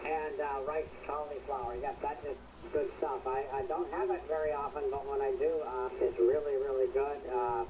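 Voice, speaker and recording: A man's voice: mid-range voice, fairly even intonation, moderate pace. Hesitant man on noisy shortwave radio. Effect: shortwave radio transmission. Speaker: amateur radio net control operator. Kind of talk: casual ham radio check-in chat. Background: radio noise.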